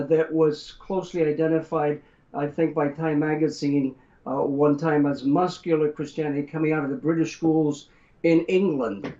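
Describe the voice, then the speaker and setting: An older man with an American accent, raised in Brazil, telling his life story in a measured interview manner with frequent pauses and fillers. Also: fairly high pitch, a little mellow. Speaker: guest author. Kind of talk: interview conversation. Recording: roomy and muffled.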